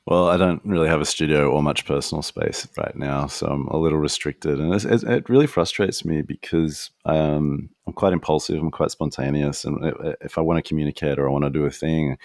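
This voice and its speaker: Male podcast host, very deep voice, dry sound, reflective and self-critical. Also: darkish tone, no echo. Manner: reflective, self-critical, quick asides and restarts. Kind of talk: conversational interview answers. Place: apartment in New York.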